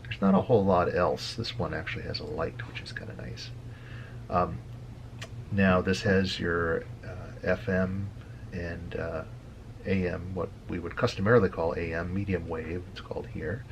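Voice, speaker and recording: Low, gravelly-voiced man explaining casually. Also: steady tone, slight background noise. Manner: halting, with frequent hesitant pauses. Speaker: hobby video host. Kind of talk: casual screen-share tutorial.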